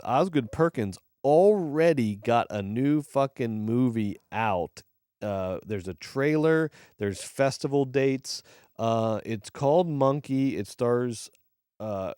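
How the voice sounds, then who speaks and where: A young man co-hosting a casual movie podcast, excitable and profane, often jumping loud and high when he rants. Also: clear, resonant tone, no room sound. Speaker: podcast co-host. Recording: dry close mic, live stream.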